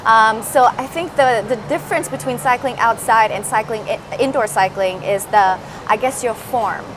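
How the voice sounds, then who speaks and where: A woman's voice: on the high side, moderate pace, background noise. A woman from Singapore, a fitness instructor, explaining her classes in an interview with many "uh" fillers. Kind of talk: interview answers.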